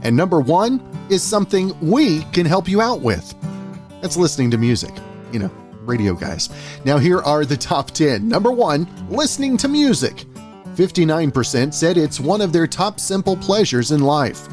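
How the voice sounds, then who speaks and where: Man, radio host, folksy, friendly, restarts questions, higher voice, faint music behind. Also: very animated, moderate pace, a little mellow. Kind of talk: casual radio interview.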